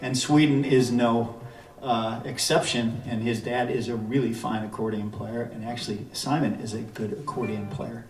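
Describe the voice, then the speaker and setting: A man's voice: medium pitch, moderate pace. Man, concert banter, rambling, restarts, distant, roomy room sound. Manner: relaxed, rambling, with many restarts. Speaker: musician introducing a tune. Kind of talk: casual stage banter at a concert. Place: living room at a house concert. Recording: roomy, distant, faint music.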